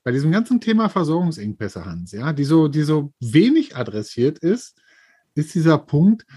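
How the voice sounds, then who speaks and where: Talkative man, slightly high husky voice. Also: normal speed. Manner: lively, talkative, uses sports analogies. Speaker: podcast co-host. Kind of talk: casual business chat.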